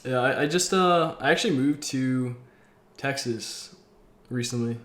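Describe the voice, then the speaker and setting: Man, 20s, American accent, medium pitch, game streamer host, excitable, slangy, casual conversation. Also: measured pace, echoey room. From Massachusetts, United States. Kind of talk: casual chat.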